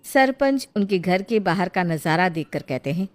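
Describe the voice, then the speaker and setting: A woman's voice: fairly low pitch, animated, normal speed. A woman reading scripted narration as the storyteller of a tale.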